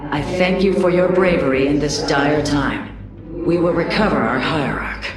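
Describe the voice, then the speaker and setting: Woman as grave alien matriarch, game cutscene: low, commanding, fiercely protective, echoing under loud score. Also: moderate pace, close to an everyday voice. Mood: grave, resolute. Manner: formal, commanding. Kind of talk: dramatic game cutscene acting.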